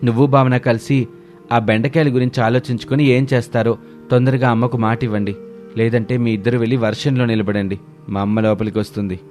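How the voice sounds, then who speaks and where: A man's voice: mid-range voice, steady tone, fairly quick, darkish tone, soft background music, lightly acted. A man voicing an irritated husband who speaks reproachfully and makes demands in a family moral story. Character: irritated husband, demanding, siding with his mother. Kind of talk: dramatised moral story dialogue.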